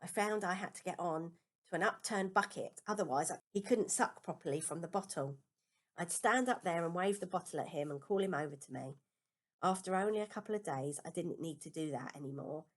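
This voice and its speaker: A woman reading a children's novel aloud as a first-person storybook narration. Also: on the low side. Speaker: storybook reader.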